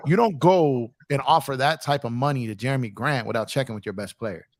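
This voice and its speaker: A man with an American accent touched by New York, hosting a casual sports livestream in a slangy, repetitive, animated way. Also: mid-range voice, fairly quick, no echo, studio quality. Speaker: sports talk show host.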